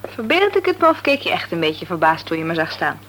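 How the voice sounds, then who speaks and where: A woman's voice: on the low side, big pitch swings, quick pace, noisy background. An actress playing a chatty, frank, opinionated woman in a Dutch radio play, with an emphatic, reassuring manner. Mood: sociable, reassuring. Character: Maartje, chatty, frank, sociable sister-in-law and family friend. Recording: radio drama recording.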